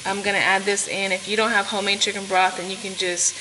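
A young woman walks viewers through a recipe in a casual, chatty way, with plenty of ums and asides. Her voice sits at an ordinary middle pitch. She records herself on a camera sitting close by on the kitchen counter, and food sizzles clearly in the pot behind her. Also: flat delivery, fast talker, noisy background. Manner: chatty, talking to viewers with many fillers. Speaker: cooking vlogger. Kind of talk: casual cooking tutorial. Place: home kitchen, indoors. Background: sizzling food and stirring.

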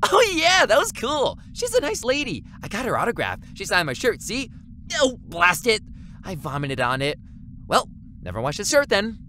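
Actress doing ditzy cheerful female partygoer, hugely swooping pitch, excitable, gushing, comic audio drama, faint noise. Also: normal speed. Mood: giddy. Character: cheerful, scatterbrained star-struck partygoer.

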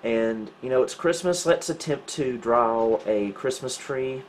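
A man's voice: mid-range voice, measured pace, slight background noise. A man talking casually and wryly as he thinks aloud through tech troubleshooting, hosting a home video indoors. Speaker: host of a retro computing video. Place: indoors, small home room.

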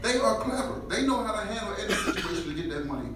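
A middle-aged man from Jamaica with a light Jamaican accent, doing an animated stand-up set on a small club stage and breaking into loud shouted bursts. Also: sits high, fast. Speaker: stand-up comedian. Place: small comedy club stage with a live audience. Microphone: handheld mic, filmed from the back of the room. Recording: echoey club room, distant camera mic, audience noise.

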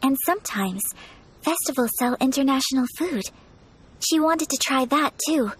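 A young woman voicing a gentle, composed and earnest noblewoman with a soft, polite, measured manner in a video game. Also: high-pitched, expressive, a little hiss or hum, low-quality recording.

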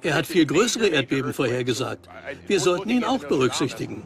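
A man's voice: on the high side, sing-song, brisk, creaky, a crowd in the background. A man talking conversationally as a participant in a dubbed documentary.

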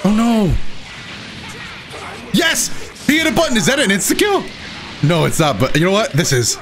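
A man giving casual, excitable commentary while he plays a video game, with playful dramatic flourishes. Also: high voice, moderate pace, faint music behind. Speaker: gaming video host and player. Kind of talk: casual live gameplay commentary.

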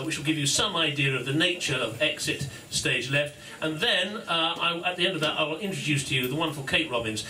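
A man's voice: medium pitch, pushed and bright, slight background noise. A man hosting a launch event in a pub, giving an informal, self-deprecating speech full of fillers and laughs. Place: pub function room. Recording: echoey room from far off.

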